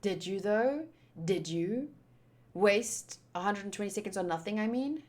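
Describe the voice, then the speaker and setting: A woman's voice: medium pitch, expressive, measured pace, darkish tone. A woman streamer reading visual novel narration aloud and adding casual commentary.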